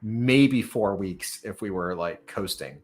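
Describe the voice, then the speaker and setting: A man's voice: fairly low pitch, slow pace. A man hosting a casual interview, talking in a rambling way as he thinks aloud and restarts his questions. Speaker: podcast host and startup founder interviewing a guest.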